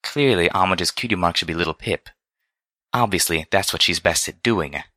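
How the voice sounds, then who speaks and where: A prim, velvety pony lady, acted out by a male narrator for a storybook. The delivery is smooth and genteel with a teasing streak, crisp and bright, recorded very dry. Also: deep voice, moderate pace, no echo. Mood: scolding, bitter. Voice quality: smooth, silky. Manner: formal, genteel diction.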